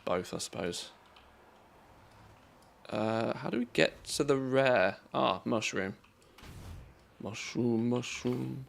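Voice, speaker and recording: A man's voice: medium pitch, takes it slowly, creaky, pauses often, a little hiss or hum. Chatty English man streaming a game. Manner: chatty, thanks and greets viewers, reacts aloud to the game. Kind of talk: casual live-stream commentary while gaming.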